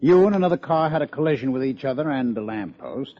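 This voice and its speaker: An American actor playing a dry, needling police lieutenant with a sardonic manner in an old-time radio detective drama. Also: male voice, mid-range voice, lively intonation, fast talker, natural voice. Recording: muffled old radio recording.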